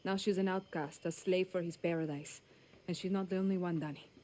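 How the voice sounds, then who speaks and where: A woman's voice: fairly low pitch, fairly even intonation, brisk, a little mellow, a little hiss or hum, close to an everyday voice. An actress voicing a defiant female revolutionary leader in a video game cutscene, giving commanding, rousing speeches.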